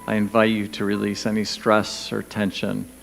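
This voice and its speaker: A man leading a guided meditation, speaking gently and soothingly in short, spaced phrases with pauses. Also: lowish voice, monotone, gravelly. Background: background music.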